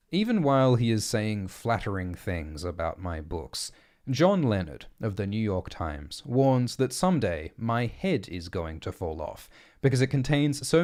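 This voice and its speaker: A man reading essays and letters aloud as an audiobook narrator, in a measured, deliberate and articulate way. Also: fairly low pitch, darkish tone. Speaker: audiobook reader.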